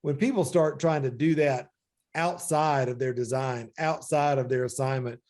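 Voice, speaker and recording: A man in his forties hosts a faith-based conversation in a reflective, searching way, leaning on "you know" as he gathers his thoughts. His voice sits at an ordinary middle pitch for a man. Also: steady tone, moderate pace, a little mellow. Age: 40s. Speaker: podcast host.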